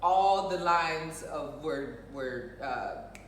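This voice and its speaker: A woman's voice: deep voice, very slow. Echoey, distant, outspoken American woman. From United States. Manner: emphatic, outspoken, repeats phrases for emphasis. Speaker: show co-host. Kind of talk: casual opinionated chat. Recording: roomy echo, distant mic, faint noise.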